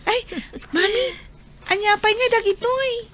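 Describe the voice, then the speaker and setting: A woman's voice: very high-pitched, fairly even intonation, unhurried, fairly bright tone. A woman voicing a young child talking earnestly with family, acting in an Ilocano radio drama with a northern Philippine accent. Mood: curious, eager.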